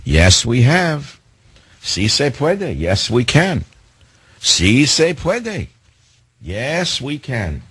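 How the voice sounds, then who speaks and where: A man hosting a talk radio show, delivering a sarcastic, indignant monologue with theatrical swings in pitch. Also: very animated, very slow, long pauses, a bit bright, husky, dry recording.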